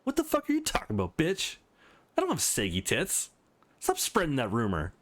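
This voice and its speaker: A man voicing an indignant, easily offended young woman in a visual novel, shrill and petulant. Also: huge pitch swings, normal speed, a bit bright.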